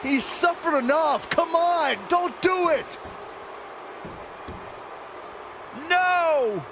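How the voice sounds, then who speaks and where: Dramatic wrestling commentator for a video game: high pitch, earnest, heavy arena noise around him. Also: male voice, lively intonation, takes it slowly, exaggerated voice. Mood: dismayed. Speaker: commentator and announcer. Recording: loud arena noise behind.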